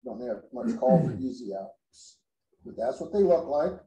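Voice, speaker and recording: Dark-voiced man explaining in a workshop. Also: mid-range voice, lively intonation, normal speed. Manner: explains step by step while working. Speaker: woodworking show host. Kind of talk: casual workshop demonstration. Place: woodworking shop indoors. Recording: echoey workshop.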